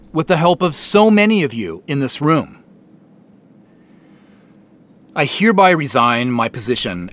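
An actor playing a contrite middle-aged company founder and CEO, speaking in a measured, formal way with deliberate pauses in an audio drama. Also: male voice, mid-range voice, a bit bright. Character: earnest, contrite male company founder and CEO addressing staff. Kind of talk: naturalistic audio drama acting.

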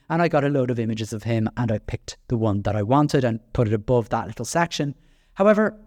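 An Irish man talks through his solo podcast in a chatty, self-deprecating way, tripping over restarts and filler words. His voice sits at an everyday middle pitch. The recording is dry and close, with no room sound. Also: darkish tone, no echo. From Ireland. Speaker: podcast host.

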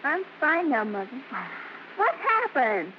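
Tattling American little girl, very high, animated voice, old radio drama. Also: slow, exaggerated voice. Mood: eager. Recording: dull, hissy old radio recording.

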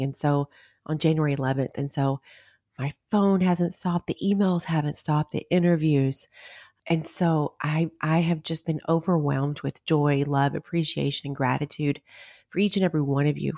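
A middle-aged woman hosting a solo podcast, her voice raspy because she is nearly losing it. She talks bluntly and swears freely. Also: low voice, darkish tone, dry recording, studio quality. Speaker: podcast host. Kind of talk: casual solo podcast talk.

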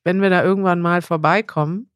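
A woman's voice: fairly low pitch, steady tone, brisk, smooth, dry recording, studio quality. A woman, chatting informally as a podcast co-host. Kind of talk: casual conversational podcast chat.

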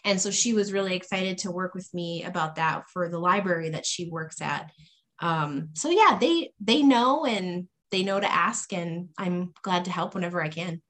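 Thoughtful woman guest, pausing with ums. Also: lowish voice, a bit bright. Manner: thoughtful, hesitant with frequent ums. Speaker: interview guest. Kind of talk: casual conversational storytelling.

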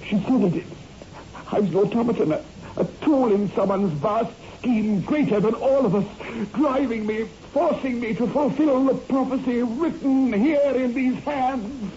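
An actor playing an anguished, haunted surgeon in a 1960s radio horror drama, speaking in a halting, melodramatic way. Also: male voice, extremely high pitch, warm tone. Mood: frenzied despair. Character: anguished surgeon obsessed with a fatal prophecy. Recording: muffled, noisy old radio recording.

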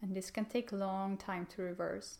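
A woman guides a meditation in a gentle, calm voice. Her pitch sits in the middle and moves very little, giving an even, steady delivery. She gives simple instructions without hurry, leaving pauses between phrases.

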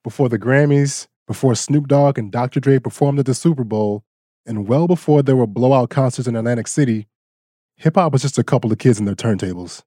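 Mellow-voiced man narrating a news podcast. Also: medium pitch, fairly quick, no echo, studio quality. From Bangkok, Thailand. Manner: relaxed, personal, with light joking. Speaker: guest host and audio engineer.

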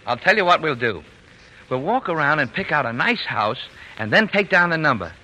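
Gentle dreamer papa, folksy and hesitant, lively bright delivery, old noisy radio drama. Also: male voice, a little high, normal speed, exaggerated voice. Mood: reassuring. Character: gentle, indulgent working-class papa, quietly scheming dreamer. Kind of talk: 1940s radio drama acting. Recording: noisy vintage 1940s radio recording.

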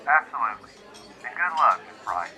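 A man's voice: mid-range voice, sing-song, fairly quick, music in the background. A man playing a polite, firm businessman heard over a telephone in an audio drama. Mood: businesslike. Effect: telephone filter. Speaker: actor.

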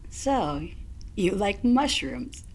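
Casting: understated, wry outsider for audio drama, breathy rasp, slow. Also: female voice, medium pitch, lively intonation. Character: wry, awed newcomer woman, a smoker. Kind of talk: naturalistic audio drama acting.

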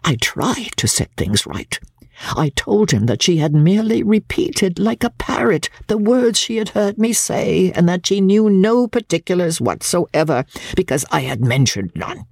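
A woman's voice: on the low side, sing-song, measured pace, gravelly, a little mellow, husky, no room sound, studio quality, heavily acted. A woman reading classic literature dramatically as a prim, self-righteous older mother, pompous, genteel and indignant in manner. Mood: self-justifying.

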